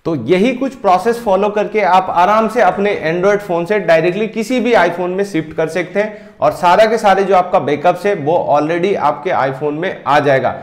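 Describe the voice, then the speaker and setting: A man in his thirties walking viewers through a software tutorial step by step, speaking directly to them. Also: high-pitched, normal speed, hardly any pauses, roomy sound. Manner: steady step-by-step explaining, addresses viewers directly. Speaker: tech tutorial host. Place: indoor room, screen-recording overlay.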